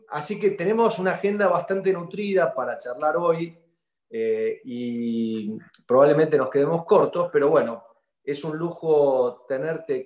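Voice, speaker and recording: A man's voice: on the high side, a little mellow. Man interviewing, echoey room. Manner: halting, many fillers and repeated words. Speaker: interviewer host. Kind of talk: hesitant conversational interview.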